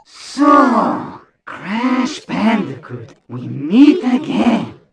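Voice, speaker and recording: An actor playing a taunting, sneering cyborg henchman in a video game, deliberate and threatening. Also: high voice, very animated, gravelly, a loud crowd, exaggerated voice. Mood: menacing. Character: taunting male cyborg henchman, menacing mad engineer. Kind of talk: video game cutscene villain acting.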